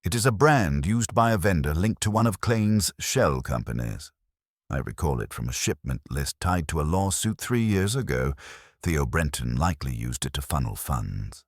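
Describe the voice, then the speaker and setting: A man plays a commanding private detective of cool logic in an audiobook mystery. He speaks formally and deliberately, with pompous precision, in a very deep, husky voice. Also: sing-song, no echo, clean studio sound, heavily acted. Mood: analytical.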